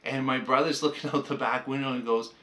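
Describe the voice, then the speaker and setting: A man's voice: mid-range voice, steady tone, fast, sharp tone. An older man with a Canadian accent, chatting casually and anecdotally as he reminisces like a hobbyist presenting on a vlog.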